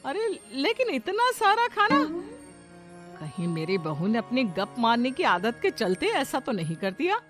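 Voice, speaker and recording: A woman voicing a kindly but exasperated middle-aged mother-in-law in a cartoon story, expressive, chiding yet affectionate. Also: fairly high pitch, measured pace, faint music behind, heavily acted. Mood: surprised, exasperated. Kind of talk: Hindi moral cartoon story acting.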